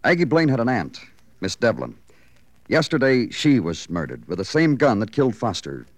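An actor playing a terse, dogged police lieutenant in an old radio crime drama, clipped and businesslike. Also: male voice, mid-range voice, very animated, moderate pace, dry recording. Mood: pressing.

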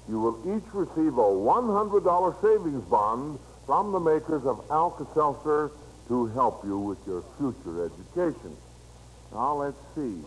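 An American man hosts a vintage radio quiz show at an unhurried pace. He is folksy and encouraging with young contestants, speaking at a middling pitch. The old broadcast recording has a light layer of noise. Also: poor audio.

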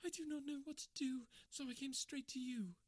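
A man's voice: extremely high pitch, fairly even intonation, normal speed, dry recording, voice pushed up. A man voicing a timid, distraught young woman who pleads tearfully for help in a storybook reading. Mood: pleading.

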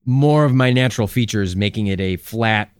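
Dry, smooth male co-host delivering deadpan improv bits for a comedy podcast, close and dead-sounding. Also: lowish voice, normal speed, no echo. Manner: deadpan, riffing absurd confessions.

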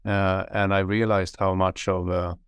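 A man's voice: low voice, little pitch movement, measured pace, clear, resonant tone, no room sound, clean studio sound. A man from Sweden, answering interview questions as an author in a hesitant, self-deprecating way with many fillers and restarts. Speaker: author, media scholar.

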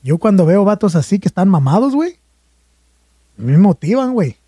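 A man's voice: high voice, very animated, moderate pace, dark tone, slightly rough, no room sound, clean studio sound. A young man with a Mexican accent rambling in casual podcast chat, slangy and swearing freely. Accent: Mexican Spanish. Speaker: podcast co-host.

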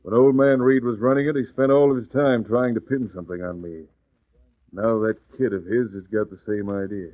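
A man's voice: on the low side, fairly even intonation, fairly quick, no echo, natural voice. A man playing a crooked, scheming American businessman in a 1940s radio drama, brusque and slangy, blustering yet anxious. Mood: irritated, worried. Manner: brusque, slangy, tough-talking. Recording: dry, tinny old radio recording.

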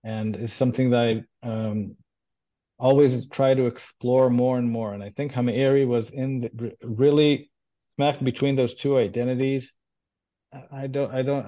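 Mellow-voiced man. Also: medium pitch, muffled recording. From Israel. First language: Hebrew. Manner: hesitant, many ums and restarts. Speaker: translator, guest. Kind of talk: casual interview talk.

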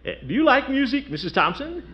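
A chuckling, pompous radio uncle. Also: male voice, very high-pitched, big pitch swings, fast. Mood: jovial. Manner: chuckling, boastful, flirtatious. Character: pompous jovial middle-aged bachelor uncle. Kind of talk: 1940s radio acting. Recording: dull 1940s radio broadcast with music.

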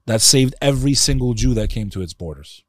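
A man with a very deep voice and a New York accent, speaking as a podcast guest in passionate, emphatic conversation. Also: lively intonation, a little fast, no echo, clean studio sound. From United States.

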